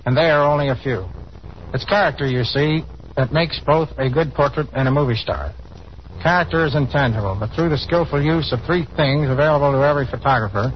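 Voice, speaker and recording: A man giving formal, explanatory scripted answers as a guest expert in a 1930s radio interview. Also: medium pitch. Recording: muffled 1930s radio broadcast, faint noise.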